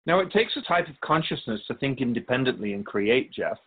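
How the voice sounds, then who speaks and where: Man playing a husky, patient all-knowing spirit. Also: mid-range voice, sing-song, brisk, phone-quality sound. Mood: patient, explanatory. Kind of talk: scripted radio drama dialogue.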